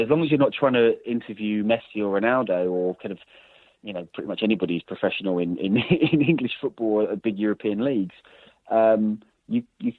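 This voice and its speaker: A man's voice: lowish voice, no room sound. A man, a journalist and author, telling long stories in a casual interview, rambling with many restarts and fillers. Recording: dry, phone-like line.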